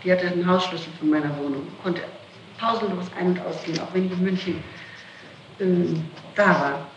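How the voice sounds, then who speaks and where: A woman answering questions in an interrogation, explaining herself in halting detail, on an old 1960s tape. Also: lowish voice, fairly even intonation, roomy sound, dull audio, slight background noise. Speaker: person being questioned in a criminal case.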